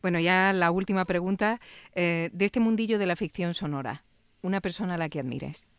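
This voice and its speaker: A woman with an accent from Spain, hosting a relaxed, conversational interview. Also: lowish voice, fairly quick, clean tone, no echo. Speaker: interview host.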